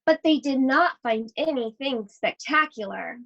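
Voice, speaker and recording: A woman teacher reading a picture book aloud to children, expressive and speaking directly to her young listeners. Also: high-pitched, measured pace, creaky, highs cut off. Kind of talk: children's read-aloud with chatty asides.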